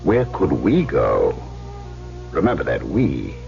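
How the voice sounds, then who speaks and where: An actor playing a cool, ruthless gangster in an old-time radio drama, speaking persuasively and commandingly. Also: male voice, extremely low pitch, huge pitch swings, slow, vocal fry, darkish tone, faint music behind, exaggerated voice. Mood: hard, insistent. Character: notorious male gangster in hiding, cool, coaxing, ruthless. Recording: muffled old radio recording.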